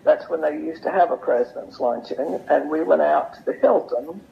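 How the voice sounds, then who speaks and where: An older woman from an interview, with a creaky, gravelly voice. She tells stories in a casual, chatty manner. The sound is dull and lo-fi with faint noise. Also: sits low, expressive, moderate pace. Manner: chatty, anecdotal. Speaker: interview guest. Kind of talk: casual storytelling. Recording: muffled lo-fi audio with noise.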